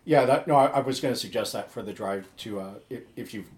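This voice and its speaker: A man's voice: medium pitch, quick pace. A man co-hosting a podcast in casual chat, patiently explaining things while stumbling over and repeating his words. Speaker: podcast co-host. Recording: roomy echo.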